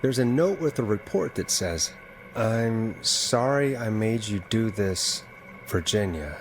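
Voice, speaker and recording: A lowish male voice over faint noise, a radio host who has turned amateur sleuth in a game. He sounds thoughtful and puzzled, working through things in a measured, conversational way. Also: expressive, rough recording. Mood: puzzled, investigative. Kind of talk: video game dialogue.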